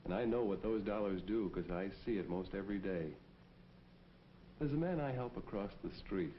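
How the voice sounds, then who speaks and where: An actor playing a kindly, earnest neighborhood policeman in a 1940s American public-service film, speaking in a folksy, gently persuasive way. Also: lowish voice, expressive, normal speed, a little hiss or hum, natural voice. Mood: earnest, warm. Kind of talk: 1940s propaganda film acting and narration. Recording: dull vintage film soundtrack, music behind. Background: music.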